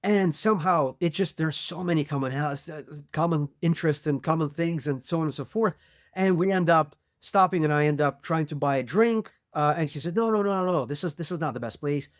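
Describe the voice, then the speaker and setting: A man's voice: a little high, a little fast, no room sound, clean studio sound. Man telling a rambling story. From Ukraine. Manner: rambling, self-amused storytelling. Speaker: podcast co-host. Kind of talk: casual interview, personal story.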